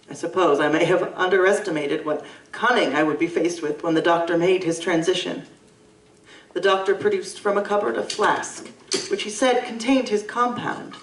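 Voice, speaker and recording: A woman's voice: mid-range voice, lightly acted. A woman playing the narrator of a period gothic audio drama, recounting events in formal, literary storytelling. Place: live convention performance in a room. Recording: roomy live stage recording, distant mic.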